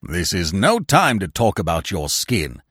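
A man voicing a stern, pompous elderly vicar who thunders his rebukes slowly. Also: deep voice, wildly swooping pitch, a bit bright, breathy edge, no echo, clean studio sound, voice pushed down, heavily acted. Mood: scolding. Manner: slow, thundering condemnation. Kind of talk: audiobook character voice.

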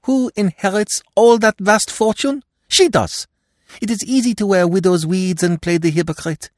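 A man's voice: sits high, normal speed, dry recording, clean studio sound, voice pushed up, natural voice. A man putting on a passionate young Frenchwoman. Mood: scornful. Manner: heated, dramatic.

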